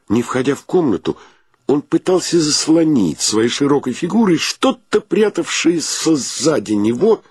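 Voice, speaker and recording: A man's voice: medium pitch, a little mellow, breathy edge, dry recording, studio quality, heavily acted. A male actor playing an easygoing, wry bachelor who also narrates a radio play in a leisurely, theatrical way.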